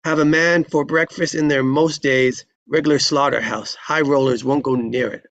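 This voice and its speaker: A man's voice: fairly high pitch, fairly even intonation, moderate pace, fairly bright tone, muffled recording. A man voicing a jovial old frontier marshal with folksy western talk, in a screenplay table read. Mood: jovial, knowing.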